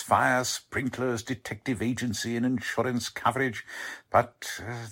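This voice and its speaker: An actor playing a polite, defensive warehouse manager in a 1940s radio drama, formal and hesitant in manner. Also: male voice, mid-range voice, vocal fry, fairly bright tone, slightly rough, full character voice. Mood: formal, explanatory. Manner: courteous, hesitant, fussily formal.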